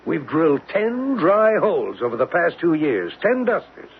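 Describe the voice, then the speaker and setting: Gravelly, gruff old drilling boss. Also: male voice, a little high, very animated, moderate pace, heavily acted. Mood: exasperated. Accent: American. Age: older, around 60. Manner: grumbling, blunt. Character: gruff, impatient veteran oil drilling boss. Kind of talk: radio drama acting. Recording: dull lo-fi old radio recording, faint noise.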